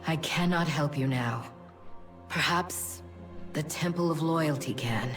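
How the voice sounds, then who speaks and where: A woman voicing a devout winged mentor in a video game, speaking with measured, ritual solemnity. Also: low voice. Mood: resigned. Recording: slightly distant, faint music behind.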